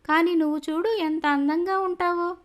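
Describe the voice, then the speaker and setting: Woman voicing a squeaky, catty little girl. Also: fairly even intonation, normal speed. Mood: catty, mocking. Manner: needling, mocking. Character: jealous, catty young girl, a schoolfriend sowing spite.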